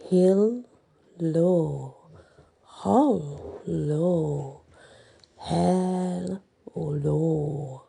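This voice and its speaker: A woman voicing a wicked witch in a bedtime story, chanting a magic charm in halting, drawn-out nonsense syllables. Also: low-pitched, vocal fry, warm tone, husky, full character voice. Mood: slow incanting.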